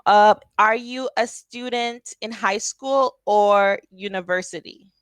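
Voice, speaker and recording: A woman tutoring English over a video call, speaking slowly and patiently and asking simple questions. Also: mid-range voice, clear, resonant tone, no room sound. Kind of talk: casual tutoring conversation.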